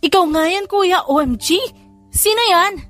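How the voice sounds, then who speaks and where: A woman voicing a bubbly, excitable young aunt in an audiobook, gushing and exclaiming as she mixes English into Tagalog. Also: extremely high pitch, normal speed, faint music behind, voice pushed up. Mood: shocked, excited. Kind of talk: audiobook character voice.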